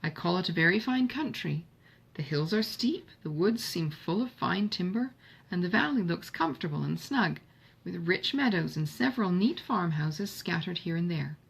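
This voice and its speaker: A woman voicing a modest, dry young gentleman in an audiobook of a Regency novel, with formal, measured phrasing. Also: lowish voice, vocal fry, husky, full character voice, rough recording. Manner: measured, emphatic listing, formal period diction. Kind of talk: audiobook character dialogue.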